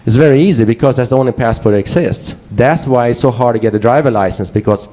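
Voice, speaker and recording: A man's voice: medium pitch, fast talker, dark tone, slight background noise. Swedish-accented man giving a casual talk. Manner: casual, joking, digressive. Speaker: conference speaker. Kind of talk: presentation talk to a live audience.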